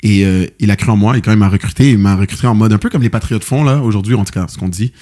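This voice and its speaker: Quick, mellow-voiced Québécois young man. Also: lowish voice. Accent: Québécois French. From Quebec, Canada. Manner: quick, loose storytelling with many fillers and slang. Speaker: guest, football player. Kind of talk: casual podcast chat.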